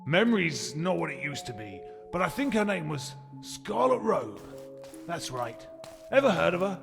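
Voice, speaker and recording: A man's voice: fairly high pitch, very animated, normal speed, vocal fry. A man playing a chatty older guide in a video game, admiring and reminiscing as he talks. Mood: admiring, nostalgic. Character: chatty older male guide of heroes' hall. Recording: long echoing hall, music behind.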